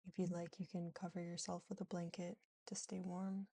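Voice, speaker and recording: A woman guiding a yoga nidra relaxation in a soothing, gentle, unhurried manner with long pauses. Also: lowish voice, level tone, breathy edge. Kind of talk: guided relaxation meditation.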